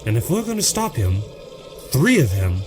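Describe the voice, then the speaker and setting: An actor playing an earnest, commanding time-traveler hero in an audio drama, authoritative and excitable. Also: male voice, mid-range voice, moderate pace, noisy background. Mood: grave.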